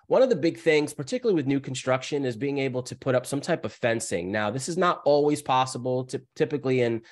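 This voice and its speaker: A man with a cold, sounding scratchy, presenting as the guest speaker on a webinar over a video call in a brisk, upbeat way. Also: mid-range voice, lively intonation.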